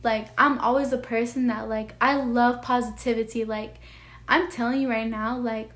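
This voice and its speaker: A young woman talks straight to her viewers in a soft, sweet voice that sounds almost childlike and sits a little high. She is earnest and rambling, with her thoughts spilling out between constant "like"s and "you know"s. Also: fairly even intonation, normal speed. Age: young adult. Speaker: vlogger. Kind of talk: heartfelt personal talk to camera.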